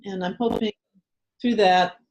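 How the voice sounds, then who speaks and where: A woman's voice: mid-range voice, steady tone, measured pace, a little mellow, highs cut off. An older woman leading a casual workshop from her home shrine room over a webcam, chatty and full of ums and restarts. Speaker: meditation teacher leading a workshop. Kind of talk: casual online workshop talk.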